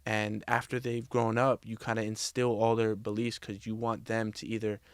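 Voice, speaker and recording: A man's voice: lowish voice, moderate pace, clean tone, no room sound, clean studio sound. A man in his twenties, a podcast guest, talking in a candid, reflective way in a casual interview conversation. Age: 20s. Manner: reflective, candid, speaks in a steady even tone.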